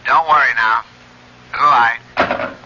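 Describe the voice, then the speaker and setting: Tinny, sharp police lieutenant on phone. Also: male voice, medium pitch, fairly even intonation, slight background noise. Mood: reassuring. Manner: official, brisk, reassuring. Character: brisk, reassuring male police lieutenant. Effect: telephone filter. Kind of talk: old-time radio drama acting. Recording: thin, tinny telephone-filtered radio sound.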